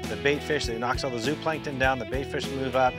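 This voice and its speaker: A man's voice: mid-range voice, fairly even intonation, brisk. A middle-aged man giving practical fishing tips outdoors on a boat by a lake, explaining step by step with frequent restarts. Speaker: fishing instructor. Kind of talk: outdoor instructional talk. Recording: music bed under the voice. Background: guitar music.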